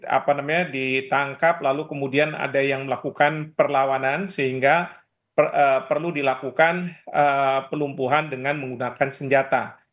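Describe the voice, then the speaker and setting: A man giving expert analysis in an interview, explaining in a halting way. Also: mid-range voice, fairly even intonation, highs cut off. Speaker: expert guest, professor.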